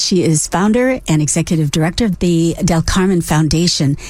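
A woman with a fairly low voice hosts an interview. She speaks in a conversational broadcast manner, and the sound is dry, with very little room around it. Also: a little mellow, dry recording. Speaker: interview host.

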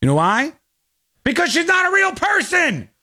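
A man's voice: very high voice, wildly swooping pitch, moderate pace, pushed and bright, no echo, studio quality. A man hosting a live stream, making sarcastic, mocking commentary on video clips. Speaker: streamer host reacting to clips.

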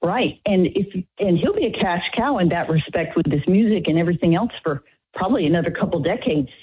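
A woman in her late fifties calls in to a radio show, her voice thin and tinny down a phone line. She speaks in a low, husky tone and stumbles nervously through her story with plenty of ums and restarts. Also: lively intonation, fairly quick. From Texas, United States. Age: 50s. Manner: nervous, hesitant, many fillers. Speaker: caller. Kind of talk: radio call-in chat.

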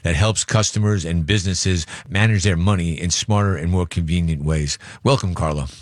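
A middle-aged man hosting an interview, asking quick, upbeat questions. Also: deep voice, no room sound, clean studio sound. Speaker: interview host. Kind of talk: podcast interview.

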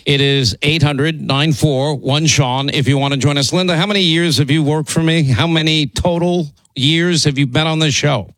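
A middle-aged radio host with a hoarse, strained voice, talking in a long rambling monologue. He circles back and repeats words but keeps pushing on. The studio sound is close and dry. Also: a little high, fairly even intonation, moderate pace, hardly any pauses, fairly bright tone, no echo, low-quality recording. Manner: rambling, repeats himself, pushes on. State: hoarse from laryngitis. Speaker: radio talk show host.

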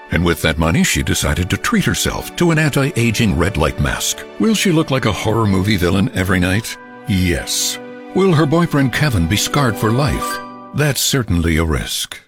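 A man narrating a scripted comedic advertisement in a wry, dry tone. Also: on the low side. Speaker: ad announcer.

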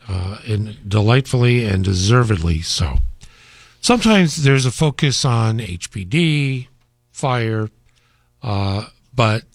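A man's voice: fairly low pitch, no room sound. A man hosting a radio interview in the studio, effusive and fond of formal words. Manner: effusive, formal word choice. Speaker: radio host.

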